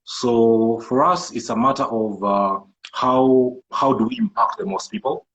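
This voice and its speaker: A man from the Kenyan coast with a Kenyan accent, a native Swahili speaker, talking as a guest in a casual interview in a measured, thoughtful way. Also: medium pitch, very animated, a bit bright, highs cut off. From Kenyan coast, Malindi. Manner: measured, thoughtful, frequent restarts and fillers. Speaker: guest entrepreneur.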